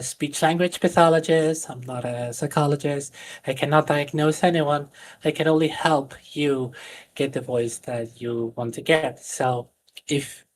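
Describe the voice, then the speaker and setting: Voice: medium pitch, slight background noise. Earnest voice coach, native Spanish speaker. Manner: earnest, explanatory, with many fillers and restarts. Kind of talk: teaching a voice training class on a live stream.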